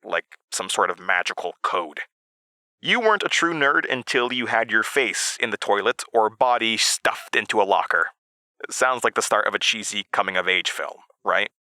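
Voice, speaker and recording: An earnest, rueful man telling his own horror story in an audio drama. His voice is crisp-edged and bright, recorded very dry and close, and he talks to the listener in hesitant, confessional asides. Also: lowish voice, animated, moderate pace, no echo. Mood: reflective, wry. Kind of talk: confessional first-person dramatic narration.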